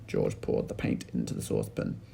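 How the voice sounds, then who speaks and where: A man with an English accent reading a children's story aloud like a teacher, in animated narration. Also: a bass voice, fairly quick, gravelly, a little hiss or hum. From England.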